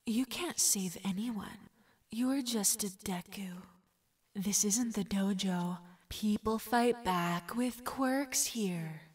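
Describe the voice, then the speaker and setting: A woman voicing a cruel inner voice of self-doubt in an audio story, slow, hissing and belittling. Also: medium pitch, slightly rough, far from the mic. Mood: scornful.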